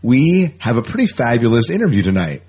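A man's voice: medium pitch, big pitch swings, brisk, a little mellow, husky. A man co-hosting a casual sci-fi fan podcast, rambling and jokey, often repeating words for emphasis. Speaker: podcast co-host.

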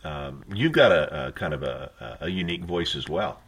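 A man's voice: a bass voice, animated, moderate pace, fairly bright tone, husky. A man hosting a podcast interview who keeps backing up his guest with affirming, encouraging remarks. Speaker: podcast host. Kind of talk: casual interview chat.

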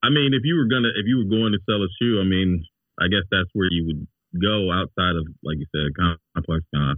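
A man's voice: low-pitched, fairly quick, no echo. Man chatting casually, slightly muffled, dry sound. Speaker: podcast guest. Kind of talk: casual conversational opinion.

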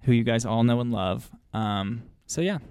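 Casual podcast co-host, male, gravelly edge to the voice, dry close sound, chatty and upbeat. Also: medium pitch, moderate pace, darkish tone, dry recording. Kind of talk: casual chat.

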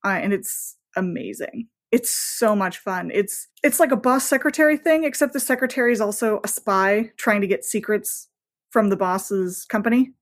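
A woman speaks in a medium-pitched voice as the guest in a casual interview. She is chatty and effusive, informal in her phrasing, and punctuates her thoughts with emphatic asides. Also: moderate pace. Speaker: podcast guest, romance novelist.

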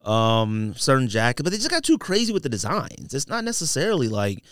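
A man hosting a casual podcast with very lively, swinging intonation. He talks in slang and leans hard on repeated phrases to make his point. The sound is dry, with very little room in it. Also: mid-range voice, fairly quick, no echo. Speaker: podcast host. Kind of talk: casual sneaker podcast banter.

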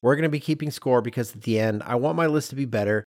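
A middle-aged man co-hosting a podcast in a conversational, animated and emphatic way, with some self-deprecation. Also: mid-range voice, quick pace, no echo, clean studio sound. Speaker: podcast co-host.

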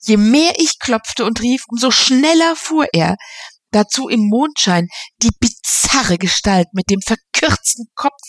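A woman's voice: fairly high pitch, expressive, a little slow, dry recording, pitched above the performer's own voice. A woman reading aloud and voicing a thin, pale, anxious young man who speaks in a pleading, melodramatic way. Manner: pleading, breathless and dramatic.

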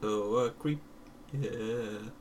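A man's voice: fairly low pitch, extremely slow, darkish tone, a little hiss or hum. A man co-hosting a podcast, chatting casually and chipping in with wry quips. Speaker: podcast co-host. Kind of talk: casual banter.

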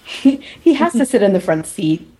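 A woman with a husky, slightly rough voice at a medium pitch. She talks as a podcast co-host in a casual recap style, dropping wry, teasing asides. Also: sing-song, moderate pace, warm tone. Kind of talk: casual TV recap chat with friends.

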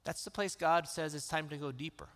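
A man preaching a sermon in a calm, conversational way that rises into emphatic questions. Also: fairly high pitch, brisk. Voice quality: calm, soothing voice. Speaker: pastor. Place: church sanctuary. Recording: long reverb of a church hall.

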